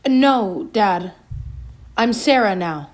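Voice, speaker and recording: A woman playing a serene young cult convert and lost daughter in an audio drama, measured and insistent. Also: medium pitch, animated. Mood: calm, insistent. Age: young adult.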